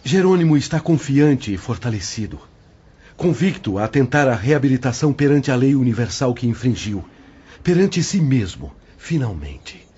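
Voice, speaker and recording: Guy acting very raspy spirit guide, earnest, expressive, formal audiobook drama. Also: mid-range voice, normal speed. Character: reassuring male spirit mentor, earnest and encouraging. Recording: dull lo-fi audio with music behind.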